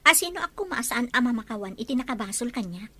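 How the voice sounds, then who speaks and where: A woman's voice: fairly high pitch, lively intonation, fast talker, sharp tone. A woman playing a composed, devout wife and mother in an Ilocano audio drama. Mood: guarded, forgiving.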